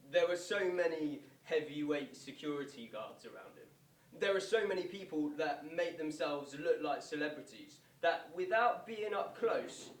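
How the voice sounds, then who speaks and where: A man playing a sceptical, plain-spoken local football supporter in a verbatim stage monologue. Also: fairly high pitch. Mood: doubtful. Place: theatre performance space, distant microphone. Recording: echoey stage recording from far off.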